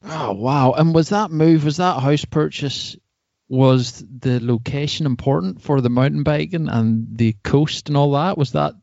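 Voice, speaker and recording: A man's voice: on the high side, a little slow, gravelly, a little mellow, no room sound, dull audio. A man hosting a podcast, chatting informally and asking questions in a friendly, conversational way. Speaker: podcast host. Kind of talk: casual podcast interview chat.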